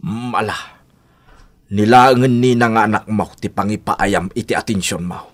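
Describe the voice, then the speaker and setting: A man's voice: lowish voice, moderate pace, natural voice. A man playing a stern older father who gives grave advice in a radio drama. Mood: grave advising. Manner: grave, admonishing. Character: stern older father advising his grown son.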